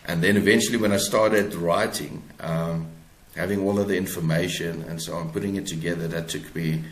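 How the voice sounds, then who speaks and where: Male interview guest, South African accent, low-pitched, thoughtful and halting delivery, echoey room. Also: fairly even intonation. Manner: halting, repeats words as he searches for phrasing. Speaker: guest author. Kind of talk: reflective interview talk. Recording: roomy, echoing sound.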